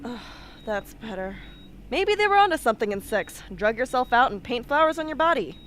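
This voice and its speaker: A woman's voice: a little high, big pitch swings, fairly quick, fairly bright tone. A woman playing a blunt, sardonic, tough young patient in an amateur radio drama, with a mocking delivery. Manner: sarcastic, mocking. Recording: noisy amateur radio play recording.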